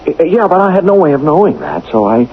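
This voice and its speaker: An actor playing an earnest, torn young football player in a radio drama, halting and apologetic. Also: male voice, fairly high pitch, sing-song. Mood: defensive, pleading. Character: earnest, torn young football star, meek under pressure. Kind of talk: 1970s radio drama acting. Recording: muffled, noisy vintage radio broadcast.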